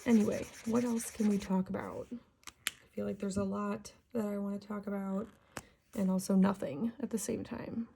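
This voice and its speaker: Soft raspy woman chatting while coloring. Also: mid-range voice, normal speed, slight background noise. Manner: soft, relaxed, slightly self-conscious talk, often half-whispered. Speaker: coloring channel host. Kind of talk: casual chat while coloring. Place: home.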